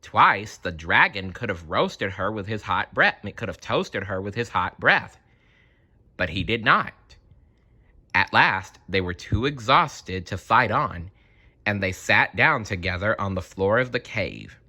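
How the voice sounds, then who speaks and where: A man reading a children's storybook aloud in an expressive, dramatic manner. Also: fairly low pitch, unhurried, slightly rough.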